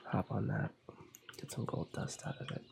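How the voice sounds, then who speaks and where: A young man with a cold, quietly commenting on his gameplay in a soft ASMR style, often slipping into whispers. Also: moderate pace, a little hiss or hum. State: has a cold. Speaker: ASMR gaming channel host.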